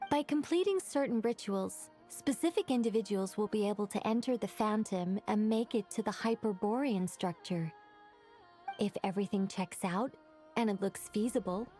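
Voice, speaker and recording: A woman's voice: medium pitch, warm tone, natural voice. A woman playing a wordy, polite, scholarly witch who eagerly explains things in a video game.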